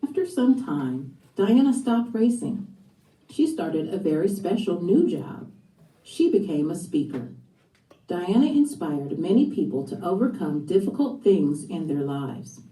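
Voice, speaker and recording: A woman narrates a children's biography from a script. Her voice is very mellow and dark, on the low side. It sounds distant and echoey. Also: big pitch swings, unhurried. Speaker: book narrator.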